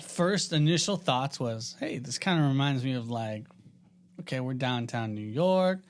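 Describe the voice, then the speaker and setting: A man's voice: medium pitch, lively intonation. Casual, slangy man podcaster. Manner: informal, slangy, halting delivery with repeated phrases. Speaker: podcast co-host. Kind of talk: casual TV review chat.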